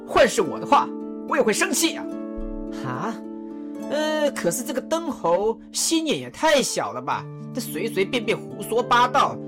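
A woman voicing a curious, joking male warlord in a radio drama. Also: a little high. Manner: teasing, playful, whiny.

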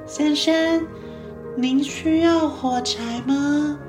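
Guy doing a tiny poor match girl, super high sweet pleading voice, kids storybook. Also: steady tone, very slow, roomy sound, over music, full character voice. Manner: sweet, polite, pleading call. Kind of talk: children's storybook character voice.

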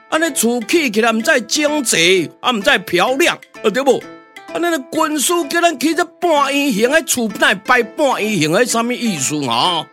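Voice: sits high, fairly bright tone. A performer with a Taiwanese accent voicing a simple, curious pioneer underling in a glove-puppet radio drama, questioning and exclaiming.

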